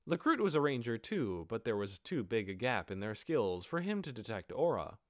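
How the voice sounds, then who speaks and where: A man's voice: mid-range voice, very animated, moderate pace, no echo, studio quality. A man reading scripted fantasy fiction as an audiobook narrator.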